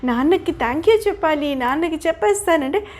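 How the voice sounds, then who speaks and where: Storybook wooden puppet boy, performed by a woman: very high, delighted, childlike exclamations. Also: expressive, a little fast, a little mellow. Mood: eager.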